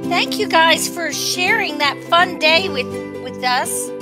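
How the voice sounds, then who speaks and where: A woman's voice: extremely high pitch, animated, slow, loud music behind the voice. A middle-aged woman hosting storytime, chatting to young children. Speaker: storytime host. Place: small indoor room.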